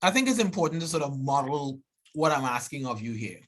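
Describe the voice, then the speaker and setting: A man's voice: medium pitch, a little fast. Earnest Trinidadian man giving a talk. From Trinidad and Tobago. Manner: earnest and animated, with frequent restarts and "right?" asides. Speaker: biology professor giving a seminar. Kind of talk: seminar talk.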